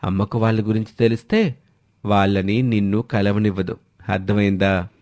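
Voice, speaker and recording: A man's voice: lowish voice, fairly even intonation, normal speed, darkish tone, no room sound, clean studio sound, natural voice. A man voicing a secretive young husband and father who talks in a reassuring, persuasive way in a story. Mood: placating.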